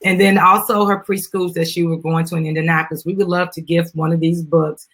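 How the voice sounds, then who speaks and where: American woman, bright slightly husky voice. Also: lowish voice, flat delivery, brisk. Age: adult, mother of a young child. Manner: informal, chatty, addressing viewers directly. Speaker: live stream host, business founder. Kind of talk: casual live video chat, promoting a children's book.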